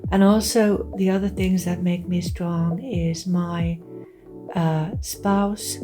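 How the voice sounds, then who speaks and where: Finnish lady artist talking real slow and earnest, lowish warm voice, bit of music under it. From Finland. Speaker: visual artist. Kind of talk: monologue to camera.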